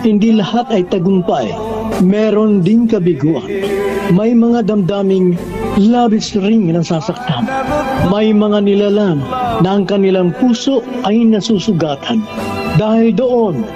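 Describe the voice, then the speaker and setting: A man with a high voice delivers sentimental, solemn radio drama narration. The sound is a dull broadcast with music clearly playing underneath. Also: unhurried.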